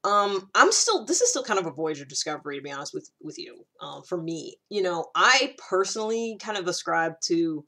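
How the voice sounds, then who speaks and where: Woman vlogger with a lowish voice, explaining stuff casually, kinda thinking out loud as she goes. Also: sing-song, fairly quick, hardly any pauses. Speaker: personality typing vlogger.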